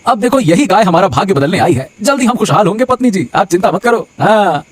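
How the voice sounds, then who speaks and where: A man voicing a scheming, cheerful village husband in an animated Hindi moral story, exaggerated and repeating his words. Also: high-pitched, brisk, slightly rough, exaggerated voice. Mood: smug, confident.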